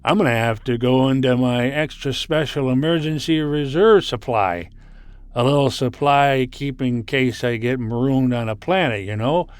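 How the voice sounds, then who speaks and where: A man's voice: medium pitch, clear, resonant tone, no echo, natural voice. An actor playing a jolly, hard-drinking spaceship communications man in a folksy, chummy 1950s science fiction radio drama style. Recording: noisy vintage 1950s radio recording.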